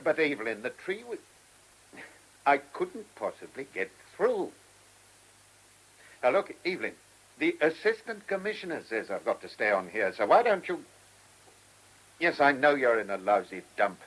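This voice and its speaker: A man's voice: medium pitch, big pitch swings, moderate pace, long pauses, fairly bright tone, husky, poor audio. An actor playing an irritable, shrewd male chief inspector in a radio play, brusque and commanding, given to muttered asides. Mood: exasperated.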